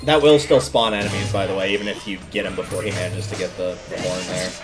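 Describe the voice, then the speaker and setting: A man's voice: low voice, steady tone, fast talker, over music. A man giving casual, rambling livestream commentary on a video game, often stopping to explain things.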